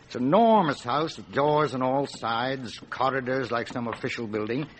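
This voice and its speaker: A man's voice: mid-range voice, moderate pace, a little hiss or hum, lightly acted. A man acting a local police inspector in a radio drama, with a mid-range voice. He is obliging and talkative, laying out details like a guide with slight hesitation. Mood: obliging, explanatory.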